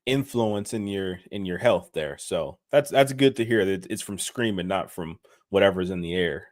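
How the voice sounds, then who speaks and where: A man co-hosting a sports podcast, explaining news and making his case in a measured, casual way. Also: low voice, no echo, clean studio sound. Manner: measured, explaining, some restarts. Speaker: podcast co-host.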